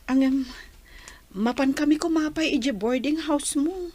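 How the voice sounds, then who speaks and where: A worried, determined young mom, high voice, dry radio drama sound. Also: female voice, unhurried, a little mellow, dry recording. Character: troubled, determined young mother leaving hospital. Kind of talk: Ilocano radio drama acting.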